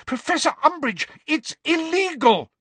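A teenage schoolgirl in an audiobook, very high and shrill. She sounds anxious and tearful, pleading in gulping, swooping phrases. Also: expressive, unhurried, fairly bright tone, no echo. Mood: shrill alarm. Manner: gulping, sobbing, crying out.

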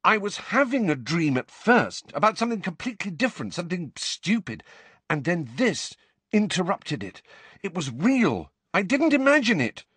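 A man's voice: sits high, big pitch swings, normal speed, fairly bright tone, breathy edge, dry recording, voice pushed up, exaggerated voice. A man voicing a panicked teenage wizard boy in an audiobook, breathless and urgently insistent. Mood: urgent, angry. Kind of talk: audiobook character acting.

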